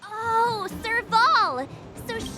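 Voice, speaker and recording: A woman voicing a perky, excitable young girl adventurer in an anime-style video game. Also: very high-pitched, drawn-out words, heavily acted. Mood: excited. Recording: game music behind.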